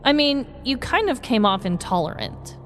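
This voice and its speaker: A woman playing a devout, upbeat police deputy in a comedic story, earnest and gently scolding. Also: on the high side, sing-song, moderate pace. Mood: gentle reproach. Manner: earnest, reproachful. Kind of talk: comedic podcast story acting.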